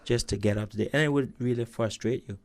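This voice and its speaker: A man's voice: medium pitch, quick pace. A man speaking as a guest on a radio talk show, hesitant, with many stammers and restarts. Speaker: studio guest.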